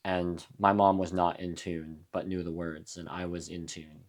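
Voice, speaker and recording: A young adult man from New Mexico, a musician guest in a casual interview, talking in a reflective, halting way with frequent restarts. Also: low-pitched, level tone. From USA (New Mexico).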